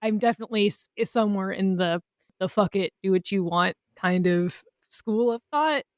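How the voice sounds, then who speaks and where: Woman, podcast co-host, casual, rambling, informal, mid pitch, dry close sound. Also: normal speed, no echo. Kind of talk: casual chat.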